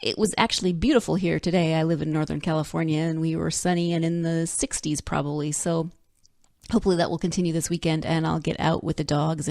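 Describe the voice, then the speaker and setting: A woman's voice: deep voice, gravelly, a little mellow, no echo, studio quality. Congested, chatty woman podcast host. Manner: chatty and upbeat, with frequent fillers and self-corrections. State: congested, snuffly, slightly scratchy throat. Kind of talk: casual solo podcast monologue. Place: home in Northern California.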